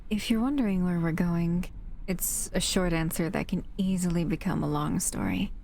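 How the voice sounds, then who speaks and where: A woman playing a gentle, protective shadow monster in an intimate audio roleplay, speaking softly and affectionately to the listener. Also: lowish voice, moderate pace, gravelly, a little hiss or hum. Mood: explaining, hopeful.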